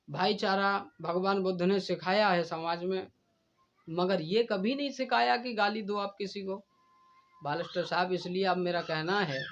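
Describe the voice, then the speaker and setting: A man delivering a stern, deliberate admonishing speech in a preachy manner. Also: sits high, fairly bright tone, a little hiss or hum. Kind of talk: admonishing speech addressed to a rival. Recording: dull, lo-fi recording.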